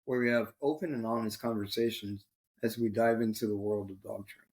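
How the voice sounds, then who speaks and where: A man hosting a casual podcast interview over a video call, relaxed and laughing often. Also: fairly low pitch, fairly quick, breathy edge. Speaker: podcast host.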